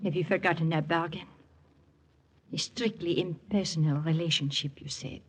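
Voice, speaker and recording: An actress playing an alluring, shrewd French woman in a 1940s radio drama, teasing in measured, formal English with a French accent. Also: deep voice, husky. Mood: teasing, coy.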